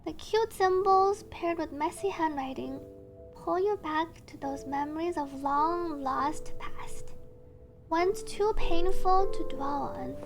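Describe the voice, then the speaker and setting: Very high-pitched woman streaming a game. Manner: reads story text slowly, then chatty asides. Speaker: streamer, VTuber. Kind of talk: game livestream commentary, reading on-screen text aloud. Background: game music.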